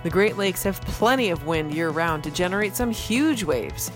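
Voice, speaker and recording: A woman's voice: fairly low pitch, lively intonation, moderate pace. Woman reading a segment over music. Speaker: podcast segment presenter. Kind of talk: scripted narration. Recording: clear music bed behind her.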